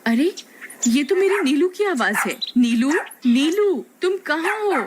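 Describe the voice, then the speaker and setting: A woman voicing a doting, excitable village woman in a cartoon, exclamatory and cooing. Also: high voice, a little slow, noisy background, pitched above the performer's own voice. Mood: overjoyed. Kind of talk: cartoon moral story acting.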